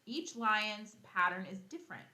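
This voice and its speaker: A woman teacher reading a children's nonfiction book aloud, expressively, pausing to explain and think out loud for young pupils. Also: medium pitch, lively intonation, roomy sound, rough recording. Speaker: elementary school teacher.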